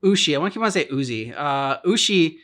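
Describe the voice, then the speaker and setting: A reaction show host with a mid-pitched voice chats casually about a game. The phrasing wanders and hesitates as thoughts form. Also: expressive, a little fast, fairly bright tone.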